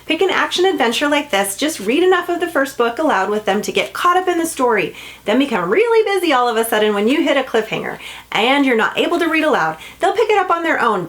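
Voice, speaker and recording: A woman's voice: sits high, very animated, fast talker. A woman giving an upbeat, enthusiastic scripted promotional narration, like a children's book seller. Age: adult, parent of a school-age child.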